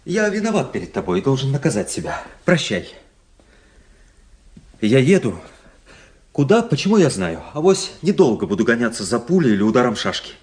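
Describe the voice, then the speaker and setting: Актёр играет пылкого молодого офицера, очень живая интонация. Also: male voice, mid-range voice, big pitch swings, moderate pace, pauses often, darkish tone, natural voice. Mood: solemn pleading. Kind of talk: radio play acting. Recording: radio play with faint noise.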